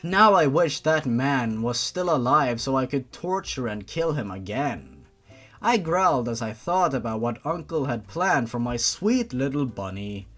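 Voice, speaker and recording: A man's voice: mid-range voice, expressive, unhurried, a bit bright, faint music behind. A man reading a story aloud with theatrical narration and sarcastic, sweary exasperation. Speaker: host reading fan fiction aloud for mockery.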